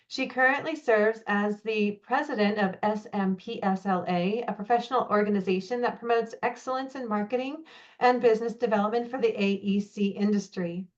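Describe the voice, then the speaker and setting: Female webinar moderator; measured scripted intro reading, mid voice, dull lo-fi call audio. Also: mid-range voice. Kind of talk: reading a formal speaker introduction.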